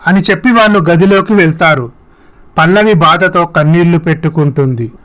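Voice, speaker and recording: High-pitched male story narrator. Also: moderate pace, fairly bright tone. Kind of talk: scripted moral story narration with acted dialogue.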